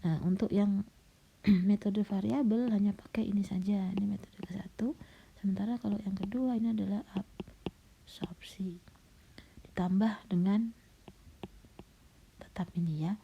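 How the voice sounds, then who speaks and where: A middle-aged woman lecturer, slightly hoarse and coughing, patiently walking students through a recorded slide lecture with frequent fillers. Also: medium pitch, fairly even intonation, measured pace, pauses often, slight background noise. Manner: patient, explanatory, with frequent "nah" and "ya". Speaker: university lecturer. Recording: dull lo-fi recording.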